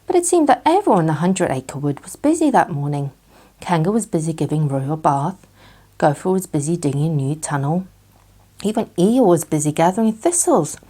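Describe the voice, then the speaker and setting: Expressive, lower-pitched woman storyteller. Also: fairly quick. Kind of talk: expressive children's bedtime story narration.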